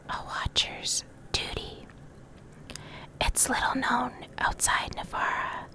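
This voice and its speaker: A woman reading in a soft, breathy whisper for ASMR, gentle and measured, close to the microphone. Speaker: ASMR creator, video host and reader. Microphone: close USB condenser microphone.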